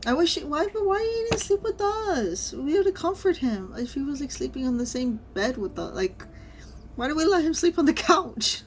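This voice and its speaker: A woman streamer reading a game story aloud and reacting casually, in an expressive manner. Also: high voice, normal speed, soft background music.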